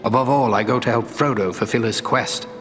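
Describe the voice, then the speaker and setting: A man's voice: mid-range voice, slight background noise, lightly acted. Noble ranger in a reverberant hall, played by a man. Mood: earnest. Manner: formal, measured, sincere. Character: noble, courteous ranger, heir to a throne. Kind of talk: fantasy game dialogue acting. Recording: long hall reverb, faint music.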